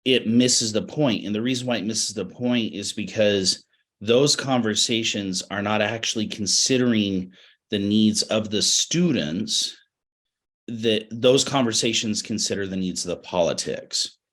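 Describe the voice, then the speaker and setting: Deep, slightly raspy American man with a cold. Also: measured pace, fairly bright tone. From Utah, United States. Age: middle-aged. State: has a cold. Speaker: interview guest, education professional. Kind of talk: reflective webinar interview.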